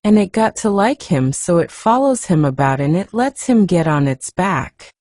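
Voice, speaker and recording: A woman's voice: on the low side, very animated, moderate pace, darkish tone, no echo, clean studio sound. A woman voicing a good-tempered, outspoken young Yorkshire housemaid in a storybook reading, chatty and homely.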